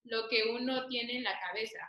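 Mexican lady hosting a relaxed interview, highish bright voice, bit echoey. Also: normal speed. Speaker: host interviewing a guest. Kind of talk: casual interview conversation. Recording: roomy echo on the voice.

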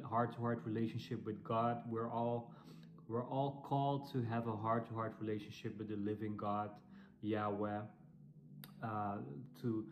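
Man, Dutch accent, non-native English, flat monotone delivery, hesitant, to-camera intro. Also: lowish voice, noisy background. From Netherlands. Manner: halting, many fillers and restarts. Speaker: ministry founder.

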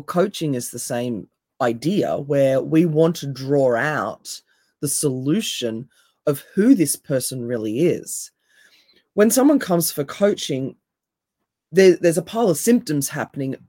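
A woman with a deep, raspy voice, speaking as a coach in an interview in an emphatic, animated way. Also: a little slow, no room sound, studio quality. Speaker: NLP coach and hypnotherapist, guest. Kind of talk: casual interview chat.

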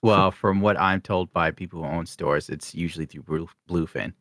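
A man with a lowish voice talks in a wry, matter-of-fact way. He is recorded close and dry. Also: steady tone, normal speed, fairly bright tone, no echo. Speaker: podcast co-host. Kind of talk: casual chat.

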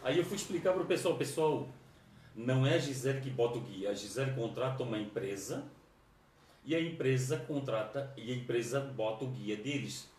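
A man's voice: mid-range voice, moderate pace, a little mellow, slight background noise. A man with a southern Brazilian accent chatting casually on a livestream, informal and rambling, often repeating his phrases. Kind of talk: casual livestream chat, greeting viewers. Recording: echoey room, distant microphone.

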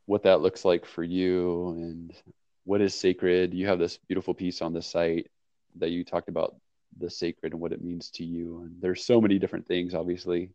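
A man's voice: sits low, flat delivery, normal speed, dark tone, no room sound, dull audio. A man hosting a podcast in a casual, meandering way, laughing often as he talks. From not Portugal, lives in Portugal. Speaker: podcast host. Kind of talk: casual conversational interview.

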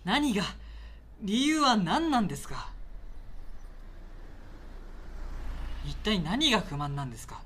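A man's voice: high-pitched, very animated, moderate pace, fairly bright tone, heavily acted. A man playing an earnest, indignant railway station attendant in a radio drama, speaking in polite but insistent, reproachful sentences. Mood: searching, reproachful. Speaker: actor in an amateur radio drama. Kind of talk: naturalistic radio drama dialogue.

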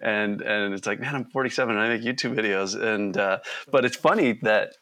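A man's voice: fairly low pitch, a little fast, sharp tone. A man in his forties co-hosting a podcast, easygoing and self-deprecating. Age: 40s. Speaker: podcast co-host. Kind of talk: casual podcast conversation.

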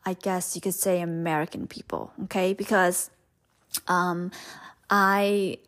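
A woman's voice: on the low side, level tone, a little slow, a bit bright. A young woman, Hmong her first language, hosting a podcast and telling her own story in a candid, rambling way with many fillers. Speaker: podcast host. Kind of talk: personal storytelling monologue.

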